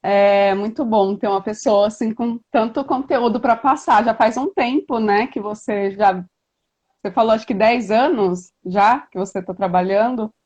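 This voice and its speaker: A woman's voice: a little high, moderate pace, a little mellow. A Brazilian woman hosting a live video-call interview as a doula, chatty with frequent tag questions. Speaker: doula and host.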